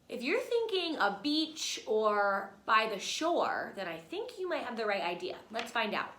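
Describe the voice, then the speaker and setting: A woman in her thirties, a teacher, reading a picture book aloud to her class from her living room couch and pausing to ask the children questions. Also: fairly high pitch. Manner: slow, expressive storytime reading with questions to children. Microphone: phone camera at a short distance. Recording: echoey room.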